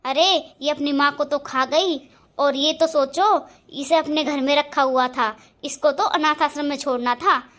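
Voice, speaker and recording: A woman playing a shrill, spiteful stepmother. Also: flat delivery, slow. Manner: shrill name-calling, later begging. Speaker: actor in a family drama. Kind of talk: amateur family drama acting.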